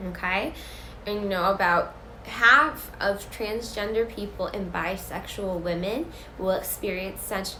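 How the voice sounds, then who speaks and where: Woman educator, stuffy and sick, earnest live-stream host, echoey room with faint hiss. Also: medium pitch. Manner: filler-heavy, earnest, repeats for emphasis. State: congested, sick.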